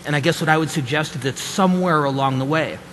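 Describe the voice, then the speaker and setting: A man's voice: on the high side, quick pace, fairly bright tone. A man on a policy panel telling a story and urging students toward public service. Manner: storytelling, earnest advice to students. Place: large conference hall. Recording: echoey hall with faint noise.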